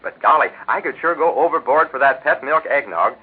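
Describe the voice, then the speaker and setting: A man's voice: fairly high pitch, expressive, brisk, slightly rough. An American man with a jovial, eager, hammy manner, delivering folksy scripted sponsor patter as a 1940s radio announcer and co-host. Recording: tinny band-limited 1940s radio recording.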